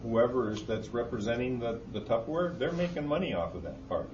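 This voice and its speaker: A man speaking as a board member in a meeting discussion, halting and repeating words as he insists on his point. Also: medium pitch, animated, darkish tone. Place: meeting room. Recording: roomy, dull, distant recording with noise.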